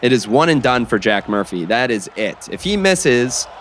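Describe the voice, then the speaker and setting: A man playing an excited basketball play-by-play announcer on the radio in an audio drama, with dramatic, emphatic calls. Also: mid-range voice, moderate pace, natural voice. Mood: tense. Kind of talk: sports commentary in an audio drama. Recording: basketball game noise behind.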